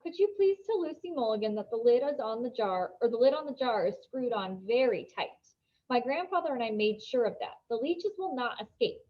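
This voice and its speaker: A woman's voice: fairly high pitch, big pitch swings, brisk, dull audio. A woman voicing a polite, earnest schoolboy in a storybook, careful and reassuring.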